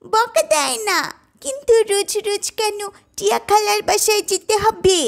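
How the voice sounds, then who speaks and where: A woman's voice: very high voice, moderate pace, gravelly. A woman voicing small tailorbirds, a mother and her chicks, in a children's cartoon. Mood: childlike, plaintive.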